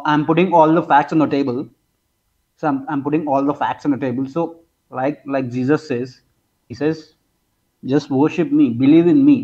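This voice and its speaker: A twenty-two-year-old man from India with an Indian accent, debating casually, haltingly repeating his phrases for emphasis. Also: medium pitch, warm tone. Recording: dull lo-fi online call audio.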